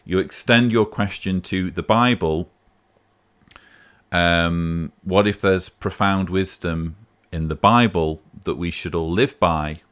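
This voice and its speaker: Deep-voiced emphatic man. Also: slow pace, a bit bright. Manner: emphatic, argumentative, rising sharply on key points. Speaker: vlog host answering a voicemail. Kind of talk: casual spoken commentary.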